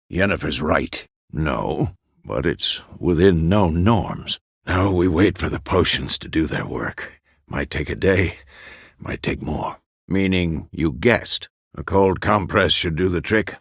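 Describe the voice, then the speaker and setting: A man playing a gruff, weathered old monster-hunter mentor in a video game, terse and dry, with a gravelly voice. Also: low voice, a bit bright, no echo, exaggerated voice. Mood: calm, matter-of-fact. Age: older. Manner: terse, clipped phrases, dry. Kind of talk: scripted video game character dialogue.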